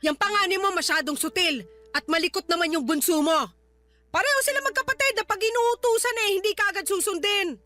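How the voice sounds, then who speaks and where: A woman's voice: very high-pitched, a little fast, sharp tone. A woman playing a stern, short-tempered Filipino grandmother who scolds and dismisses her grown daughter in a radio drama. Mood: exasperated scolding.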